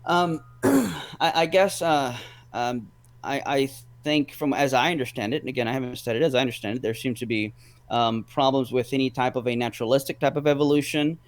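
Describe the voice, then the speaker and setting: A man's voice: mid-range voice, fairly quick, no echo. A man as a guest in a casual debate, rambling and repeating words as he reasons step by step.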